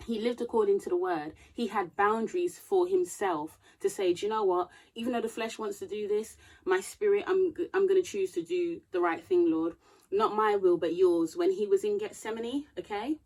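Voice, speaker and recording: A woman's voice: mid-range voice, a little mellow, poor audio. A woman in her thirties giving earnest, preachy advice to camera. She checks her notes now and then and grows emphatic as she talks. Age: 30s. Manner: reading from notes. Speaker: vlogger. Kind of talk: casual advice talk to camera.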